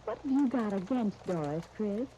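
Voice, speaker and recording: An actress playing an earnest, tactful young dress designer in a 1930s radio soap opera, speaking in a polite, gently persuasive way. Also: female voice, medium pitch, expressive, moderate pace, close to an everyday voice. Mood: curious, measured. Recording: muffled, hissy 1939 radio broadcast recording.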